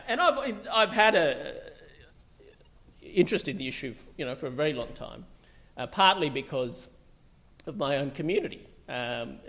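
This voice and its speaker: A middle-aged man from Bangladesh speaks in a mid-range voice with a gravelly, fry-laden edge. He talks to an audience in a loose, conversational way and often stops to restart with ums and uhs. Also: medium pitch, fairly bright tone. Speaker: psychiatrist giving a talk. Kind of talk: public talk.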